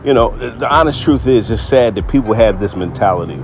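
A man's voice: on the low side, expressive, a little fast, over music. Rambling man hosting a reaction commentary. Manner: rambling, repeats himself with filler words and chuckles. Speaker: host of a commentary channel.

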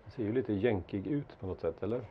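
A man with a slightly low voice hosts a casual discussion, asking questions in an everyday conversational way. The recording is narrow and phone-like. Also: expressive, breathy edge.

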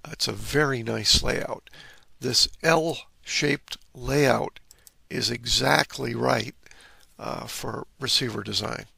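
Man, instructive electronics presenter, heavy fry and husky edge, close dry voice-over. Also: medium pitch, slow, sharp tone, no room sound. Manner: instructive, explaining step by step. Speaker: presenter of an electronics repair video. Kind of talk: informal technical walkthrough narration.

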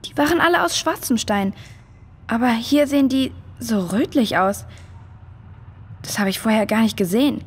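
A female performer playing a caring, practical girl in a children's audio drama, slightly husky, in cave-like reverb. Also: on the high side, expressive, normal speed, long pauses. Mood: puzzled, alert. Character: caring, practical girl comforting her friend.

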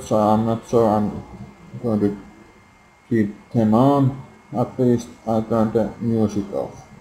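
A young man with a Finnish accent, quietly and hesitantly commenting on his own game play, swearing often, recorded on a noisy laptop microphone at home. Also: on the low side, echoey room. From Finland. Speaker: gameplay video maker. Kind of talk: casual gameplay commentary. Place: home room. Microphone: built-in laptop mic.

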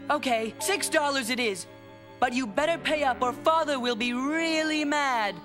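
A woman's voice: sits high, normal speed, music in the background, natural voice. A woman voicing a smug, scheming rich little boy in a children's cartoon, with a snooty manner. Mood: smug, accusing. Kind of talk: animated children's cartoon acting.

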